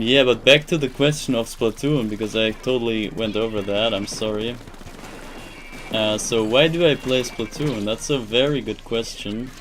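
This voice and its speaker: A man's voice: medium pitch, a bit bright. A young man whose first language is German, chatting casually on a live stream in English, rambling and searching for words with many restarts. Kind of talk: casual live-stream chat while gaming.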